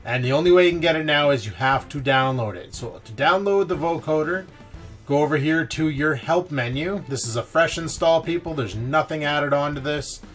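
Casual man giving a software tutorial. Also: a little high, normal speed, faint music behind. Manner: informal, slangy and mildly profane. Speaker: tech tutorial host. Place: indoor voice-over of a screen recording.

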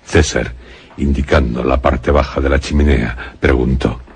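A man's voice: very deep voice, steady tone, a little slow. A man narrating a gothic suspense radio drama in a grave, scripted style. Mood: grave, ominous. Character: grave male narrator of a gothic horror tale.

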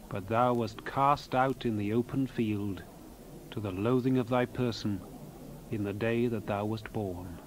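An actor playing a solemn prophet who delivers God's reproach in a dramatized scripture reading, with grave declamatory delivery. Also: male voice, mid-range voice, a little hiss or hum, lightly acted, rough recording. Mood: stern. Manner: grave, declamatory, archaic diction.

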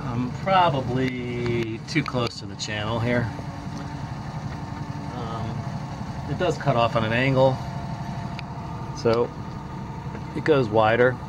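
A man's voice: medium pitch, slow, long pauses, noisy background. A man in his forties giving casual, understated vlog commentary outdoors on a sailboat, muttering brief asides into a nearby camera. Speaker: solo sailor vlogging. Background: music.

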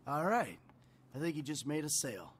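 An actor voicing a cocky middle-aged ex-robber in a video game, talking loud, blunt and wisecracking. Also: male voice, fairly high pitch, very animated, normal speed, slight background noise. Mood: flirty.

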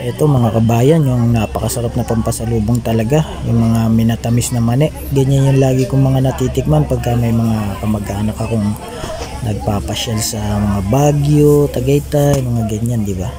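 A man's voice: medium pitch, steady tone, moderate pace. A man giving casual, polite travel vlog narration to his viewers. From Philippines. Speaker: travel vlogger. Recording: music behind the voice. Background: background music.

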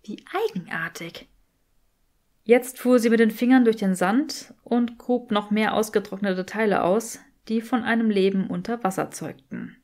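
A woman's voice: a little high, moderate pace, few pauses, a little mellow. A woman reading a scripted fan fiction story as an audiobook narrator.

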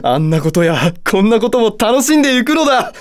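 A man's voice: mid-range voice, big pitch swings, a little slow. A man voicing a haughty, hot-tempered, lovestruck demon lord in a video game, with a theatrical, condescending delivery. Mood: lovestruck, excited. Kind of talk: Japanese video game character voice acting.

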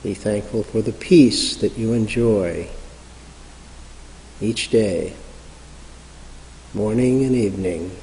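Mellow man leading prayerful meditation. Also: on the low side, a little hiss or hum. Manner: slow, measured, reverent, long pauses. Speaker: meditation leader. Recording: reverberant hall sound.

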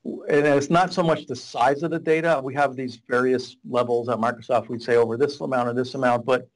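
A man's voice: medium pitch, fairly even intonation, fairly quick, rough recording. A middle-aged man, a data warehouse architect, explaining at length as a guest on a video call, with many restarts, from a small office. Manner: rambling, restarts, gestures a lot while explaining. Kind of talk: casual explanatory interview. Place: small office room with whiteboard. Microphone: video call webcam.

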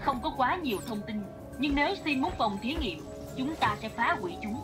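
High-voiced commanding young squad leader, played by a woman. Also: expressive, a little fast. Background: cartoon soundtrack music.

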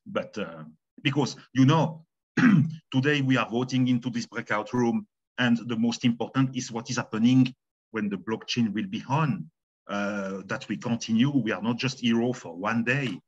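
A man from Switzerland with a Swiss French accent, co-hosting an online meeting in halting English and often repeating words. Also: mid-range voice, slightly rough, highs cut off. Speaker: community meeting co-host. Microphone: video call.

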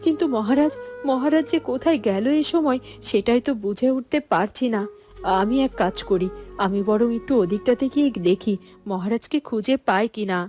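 A woman voicing an anxious, suspicious queen in a cartoon, fretful and haltingly repeating her words. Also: on the high side, dark tone, soft background music, lightly acted. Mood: anxious, resolute. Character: anxious, suspicious queen, protective mother of a prince. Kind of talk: Bengali cartoon voice acting.

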